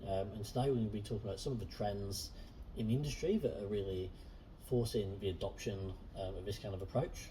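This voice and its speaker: A man hosting a casual interview chat, relaxed and friendly with frequent fillers. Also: lowish voice, quick pace, far from the mic, slight background noise, poor audio. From Southern Hemisphere. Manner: relaxed, friendly, many ums. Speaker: host.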